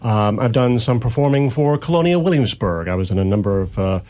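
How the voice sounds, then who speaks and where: A man's voice: mid-range voice, expressive, brisk, warm tone. A man answering as an interview guest in a hesitant, understated way. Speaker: community theater actor, guest. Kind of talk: casual interview talk.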